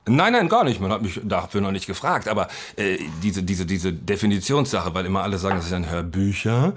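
Low-voiced man chatting as a podcast guest. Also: big pitch swings, brisk, slight background noise. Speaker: podcast guest, audio drama maker. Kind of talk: casual chat. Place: in a home, sharing one microphone with the host.

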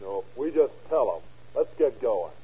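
An actor playing a wry, slangy, wisecracking police officer in an old radio crime drama. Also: male voice, mid-range voice, sing-song, normal speed, music in the background, natural voice. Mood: wry, tough. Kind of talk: 1930s-40s radio crime drama acting. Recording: muffled, noisy old radio broadcast.

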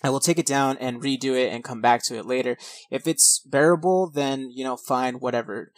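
A thirty-year-old man hosts a podcast with an informal, self-deprecating manner. His voice sits at an ordinary male pitch. It is recorded close and dry, with no room around it. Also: a little fast, no echo. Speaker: podcast host. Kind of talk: casual podcast talk and reading of accounts. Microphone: headset, recording at own setup.

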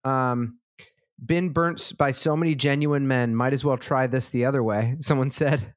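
A man co-hosting a podcast in casual chat, relaxed and swearing freely as he reads out listener responses. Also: medium pitch, moderate pace, no room sound, studio quality. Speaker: podcast co-host. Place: lives in a big city.

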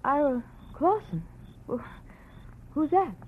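A woman playing a frightened young woman in a 1940s radio drama, soft and anxious. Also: high voice, sing-song, slow pace, pitched above the performer's own voice, heavily acted. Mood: nervous. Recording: dull old radio recording with hiss.

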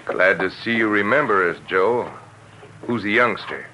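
Bright-voiced menacing outlaw boss. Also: male voice, on the low side, measured pace. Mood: gruff menace. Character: menacing male outlaw gang leader, bossy and calculating. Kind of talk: 1950s radio western acting. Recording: noisy old radio recording.

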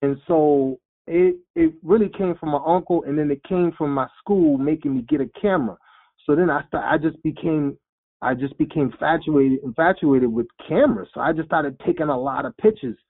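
A man in his forties telling his life story in an interview, animated and quoting himself in lively voices. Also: on the high side, normal speed, vocal fry. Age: 40s. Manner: animated storyteller, acts out quotes. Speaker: guest, rapper. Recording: phone-like lo-fi sound.

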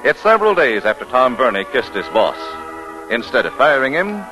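A man announces a radio play in scripted 1940s style. His mid-range voice is highly animated, with music clearly behind it on an old broadcast recording. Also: moderate pace, poor audio.

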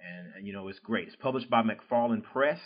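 A man with a Southern US accent hosts a casual interview, his low voice wandering through sentences padded with fillers. The sound is a little dull and lo-fi. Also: fairly quick. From southern United States. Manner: rambling, constant "you know" fillers, laughs easily. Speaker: podcast host interviewing an author.

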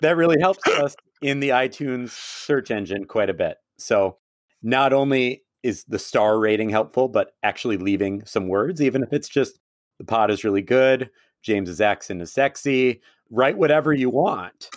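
A man's voice: mid-range voice, lively intonation, moderate pace, no echo, studio quality. A man co-hosting a casual podcast, teasing his guest and joking through the banter. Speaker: podcast co-host.

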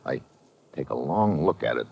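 An actor with an American accent playing a tough, world-weary, wisecracking adventurer in a 1950s radio drama, terse and sardonic. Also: male voice, rumbling low, big pitch swings, normal speed, gravelly, warm tone, a little hiss or hum, exaggerated voice. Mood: tense narrating. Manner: hard-boiled, terse, sardonic. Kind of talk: 1950s radio drama acting, first-person noir narration. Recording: dull vintage radio recording with music.

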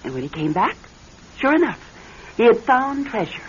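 An actress playing an earnest, wistful wronged wife, in the theatrical manner of classic radio drama. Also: female voice, fairly high pitch, very animated, normal speed. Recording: muffled old radio broadcast with faint hiss.

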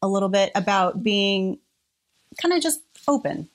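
A woman's voice: medium pitch, expressive, moderate pace. A woman in her forties hosting a podcast, moving from a prepared introduction into eager interview questions. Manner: enthusiastic, warm questioning. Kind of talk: scripted intro then interview. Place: guest's home.

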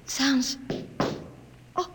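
An actress playing an earnest, defiant woman professor in an old science fiction radio drama. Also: very slow. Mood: desperate, loving. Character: defiant, passionate woman professor in a dystopian future. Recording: noisy old radio recording.

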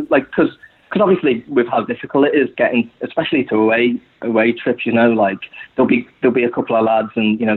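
A young Scouse man from Liverpool chats as a podcast guest, his speech looping through fillers and restarts. His voice is husky and a little breathy. The sound comes through thin and tinny, like a phone call. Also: mid-range voice. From Liverpool, England. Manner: rambling, full of "you know" fillers. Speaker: guest supporter. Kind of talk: casual podcast conversation.

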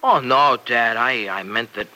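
An actor playing an eager, earnest young farmer son in a vintage radio soap opera. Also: male voice, mid-range voice, a little slow, sharp tone, muffled recording. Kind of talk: 1940s-50s radio soap opera acting. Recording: vintage radio broadcast recording.

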